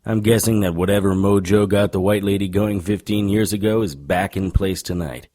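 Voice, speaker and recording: A man playing a gruff, world-weary supernatural investigator in an audio drama, speaking in a wry, terse and insistent way. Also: low voice, steady tone, normal speed, mellow. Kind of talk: audio drama acting and first-person narration.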